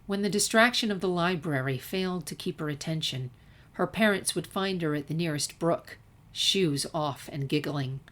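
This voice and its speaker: A woman's voice: deep voice, moderate pace, fairly bright tone. A woman narrating a written story as a fan fiction reader.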